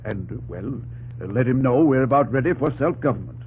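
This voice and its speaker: An earnest frontier doctor played by a male actor with a medium-pitched voice. He is polite, measured and steadfast in classic radio-drama style, on a muffled recording with faint noise. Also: lively intonation, natural voice. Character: earnest, civic-minded frontier doctor, calmly principled. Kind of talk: old-time radio drama acting.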